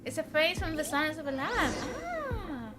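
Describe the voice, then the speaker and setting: A woman's voice: high voice, very animated, moderate pace, over loud music. A woman livestreaming a game, commenting casually in a giggly, playful way. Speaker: live game streamer. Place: indoors, streaming over game audio.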